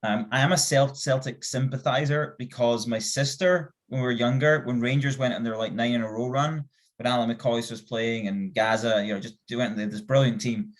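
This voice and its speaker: A man's voice: medium pitch, fairly even intonation. A man from Scotland co-hosting a podcast, telling stories in casual, chatty talk with lots of fillers. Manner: chatty, fast-shifting storytelling with fillers. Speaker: podcast co-host. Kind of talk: casual chat.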